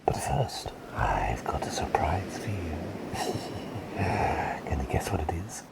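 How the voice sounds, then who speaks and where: A man voicing a gentle, whimsical plague doctor caretaker in an ASMR roleplay, whispering softly and breathily in halting, reassuring repeated phrases. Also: deep voice, very animated, vocal fry, full character voice. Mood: whimsical, welcoming.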